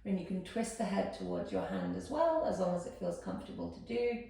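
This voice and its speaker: Calm woman cueing stretches, echoey room. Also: lowish voice, brisk, far from the mic. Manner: calm step-by-step cueing, counting down. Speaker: posture instructor. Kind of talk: guided exercise instruction.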